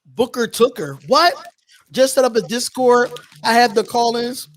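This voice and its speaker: A man's voice: very high-pitched, animated, measured pace, a bit bright. A man hosting a casual live sports call-in stream, talking in slangy, repetitive phrases. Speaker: host of a sports call-in show.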